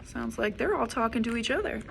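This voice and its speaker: Children's librarian, female, young adult, story time read-aloud, lively. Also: on the high side, fairly quick, creaky, a little hiss or hum. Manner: expressive, animated storybook reading with soft asides.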